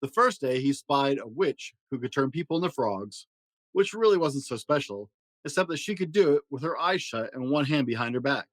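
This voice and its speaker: Guy reading a kids story out loud, expressive, pauses for drama, medium pitch, no room echo. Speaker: podcast host reading a children's storybook aloud.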